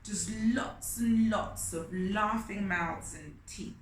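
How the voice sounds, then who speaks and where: Slow-paced woman reading to children. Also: medium pitch. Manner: expressive, addresses young listeners with questions. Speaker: storytime host reading a picture book aloud. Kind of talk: children's read-aloud with asides to the listeners. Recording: roomy echo, faint background noise.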